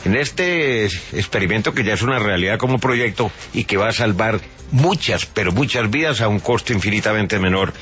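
A man's voice: fairly low pitch, expressive, moderate pace, a bit bright. A man hosting a radio interview, friendly and congratulating his guest. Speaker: radio host. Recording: dull lo-fi radio sound.

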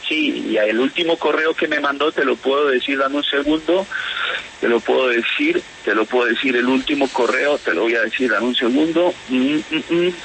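A Spanish-born man who speaks with a Bogotá accent, giving a radio interview and talking haltingly with many repeats and restarts. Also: mid-range voice, fairly bright tone, slight background noise, poor audio. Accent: Bogotá Colombian Spanish. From Spain. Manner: hesitant, repeats words, restarts. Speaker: interviewee, whistleblower.

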